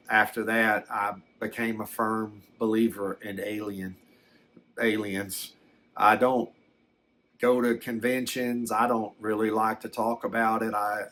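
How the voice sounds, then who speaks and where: An older man telling a personal story in a casual, unscripted way, halting and repeating words as he reflects. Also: on the low side, level tone, fairly bright tone. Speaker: storyteller recounting a personal experience.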